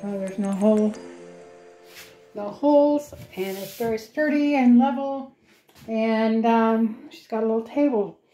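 A middle-aged woman vlogger casually walking through a home DIY project in a matter-of-fact, unhurried way. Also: fairly high pitch, mellow. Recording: roomy echo with music.

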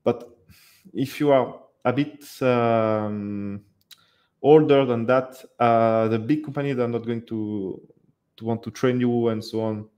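A man's voice: mid-range voice, slow, dull audio. A man in his thirties hosting a live webcam Q&A, talking casually with many fillers and restarts, recorded in a dim indoor room. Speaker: livestream host answering viewer questions. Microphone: headset or desk mic, webcam framing.

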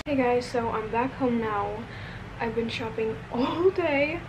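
A woman's voice: fairly high pitch, slow pace, over loud music, rough recording. Bubbly young woman vlogging. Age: young adult. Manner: bubbly, gushing, upbeat. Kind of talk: casual vlog chat. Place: indoors and outdoors, car and rooms. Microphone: handheld camera at arm's length. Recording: camera mic with clear background noise.

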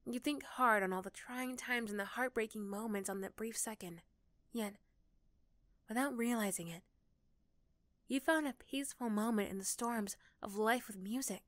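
A woman's voice: fairly high pitch, normal speed, fairly bright tone, dry recording. A young woman narrating a second-person ASMR story as its narrator, close to the microphone. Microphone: close, dry microphone.

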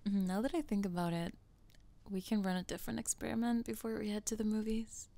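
A woman's voice: mid-range voice, steady tone, fairly quick, creaky, lightly acted. A woman voicing a sweet, nerdy teenage tomboy with a hesitant, earnest manner in an ASMR roleplay. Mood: playful, affectionate. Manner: hesitant, earnest, gently teasing.

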